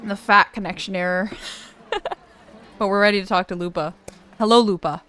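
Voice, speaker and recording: A woman's voice: medium pitch, fairly even intonation, normal speed, pushed and bright, smooth. Young gamer girl chatting n reading along, playful, faint game music behind. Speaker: gaming host. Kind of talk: casual let's play commentary, reading story text aloud.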